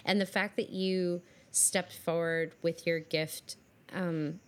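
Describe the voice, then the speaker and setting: A woman's voice: on the low side, fairly even intonation, slow pace. A woman hosting a podcast with a scratchy voice today, talking in an effusive, gushing way with many fillers. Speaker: podcast host. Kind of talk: casual podcast chat.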